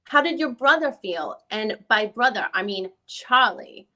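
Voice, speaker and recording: A woman reading the part of a lawyer who questions a witness in a formal deposition, with halting, probing questions. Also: a little high, expressive, bright, forceful tone. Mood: questioning. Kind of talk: dramatic reading of a deposition transcript.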